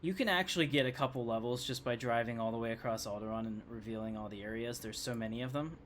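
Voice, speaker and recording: A man's voice: medium pitch, fast talker, a bit bright, a little hiss or hum. A man giving casual, chatty gameplay commentary as a streamer. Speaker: game streamer.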